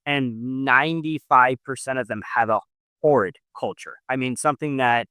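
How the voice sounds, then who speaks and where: A man's voice: mid-range voice, moderate pace, sharp tone, clean tone, no echo, studio quality. A man hosting a conversational business podcast, speaking emphatically and repeating key phrases for stress. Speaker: podcast host interviewing an entrepreneur.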